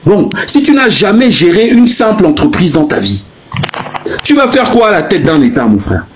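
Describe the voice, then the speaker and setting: A man's voice: sits high, big pitch swings, noisy background. A man in his fifties from Cameroon, host of a live stream, grilling a guest with pointed, repeated questions in a heated debate. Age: 50s. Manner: pointed, hammering questions, drawn-out stresses.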